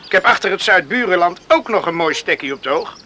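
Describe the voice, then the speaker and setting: Radio play: eager, tender young countryman; animated delivery, audible noise behind. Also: sits high, fast. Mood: cheerfully eager. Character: eager, caring young countryman planning house and wedding. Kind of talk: Dutch radio drama acting.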